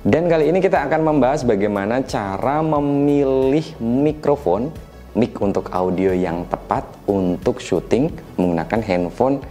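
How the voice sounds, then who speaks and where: A man with a stuffy, nasal voice from a cold, explaining microphone setup in a relaxed, chatty tutorial. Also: lowish voice. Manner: relaxed, chatty, frequent tag questions. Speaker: tech reviewer teaching mic setup.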